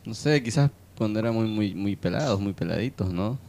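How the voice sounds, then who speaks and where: A man's voice: fairly low pitch, expressive, a little fast, a little mellow. A man with a Bolivian accent chatting casually on a radio panel in a hesitant, tentative way. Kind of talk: casual chat.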